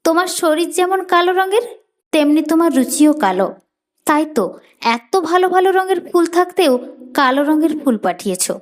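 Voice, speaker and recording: A woman's voice: extremely high pitch, animated, moderate pace. A woman voicing a vain, scornful peacock in a storybook fable, with a Bengali accent.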